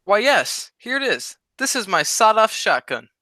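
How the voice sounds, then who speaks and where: A man's voice: high voice, very animated, normal speed, pushed and bright, dry recording, clean studio sound, full character voice. A man playing a silly, dim-witted wisecracking sidekick in a 1940s-style radio comedy. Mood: eager. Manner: silly, cheeky wordplay.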